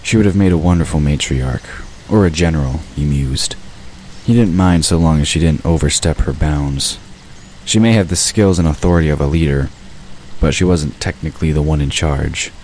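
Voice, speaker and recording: A man narrating a fantasy audio drama in a steady, even storytelling manner. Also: extremely low pitch, moderate pace, slight background noise, low-quality recording.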